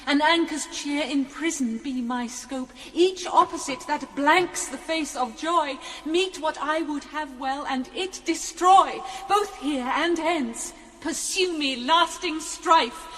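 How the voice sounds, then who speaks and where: A woman's voice: high-pitched, slow pace, few pauses, fairly bright tone, a little hiss or hum. An actress playing a devoted, solemn queen, speaking formal, declamatory verse in a classical audio drama. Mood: fervent, solemn. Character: devoted, solemn stage queen swearing fidelity. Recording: long hall reverb.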